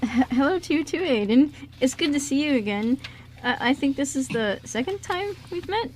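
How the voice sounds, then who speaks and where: Woman playing a polite, hesitant young man, highish voice. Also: lively intonation, moderate pace, darkish tone, a little hiss or hum. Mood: friendly, shy. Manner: hesitant, courteous, chatty. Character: polite, friendly, slightly shy young man. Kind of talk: amateur radio play acting.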